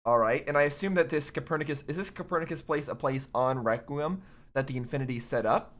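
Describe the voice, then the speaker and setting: A man doing casual, rambling reaction commentary on a game, halting and puzzled as he thinks aloud, his voice a little off as he recovers from illness. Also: a little high. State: recovering from covid, voice slightly affected. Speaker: host of a video game reaction show.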